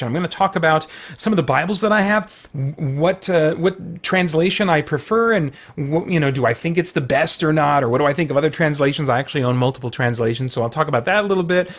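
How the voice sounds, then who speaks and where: Higher-pitched man, chatty and enthusiastic. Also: fairly bright tone. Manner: enthusiastic, talking in loose asides. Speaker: video host. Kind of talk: casual rambling chat to viewers.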